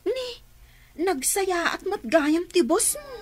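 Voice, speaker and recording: A woman acting as a curious, excitable younger sister in a radio drama, with an Ilocano accent. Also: very high voice, slow pace, loud music behind the voice, full character voice. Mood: curious, impressed.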